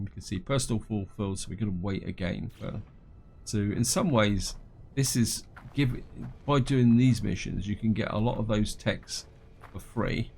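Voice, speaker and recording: A man with a croaky, congested voice from a cold, casually commenting on his gameplay and thinking aloud as he goes. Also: on the low side, dark tone. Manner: rambling, thinks aloud with fillers and restarts; reads on-screen game text aloud.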